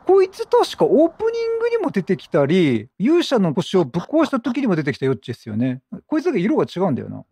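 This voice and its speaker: Animated higher-voiced gamer man, husky edge. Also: quick pace, hardly any pauses, no echo. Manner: animated, talkative, exclaims and talks back to the game. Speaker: gaming YouTuber. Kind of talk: chatty video game let's play commentary. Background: game music.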